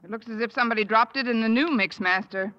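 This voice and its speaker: An actress playing a wisecracking high school teacher in a 1950s radio sitcom, with dry, sardonic delivery. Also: female voice, quick pace, lightly acted. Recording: old radio broadcast, dull and noisy.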